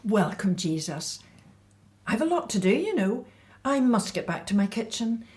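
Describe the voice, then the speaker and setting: A woman's voice: mid-range voice, very animated, moderate pace, a little mellow, lightly acted. A woman playing a fussy, overworked, resentful housewife in a radio play, complaining in a flustered way. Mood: flustered, pleading.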